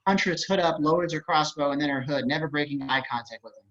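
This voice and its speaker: Guy reading script directions on a video call, bright voice, bit muffled. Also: fairly high pitch, fast. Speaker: table-read narrator. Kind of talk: reading screenplay stage directions. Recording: slightly dull video-call audio.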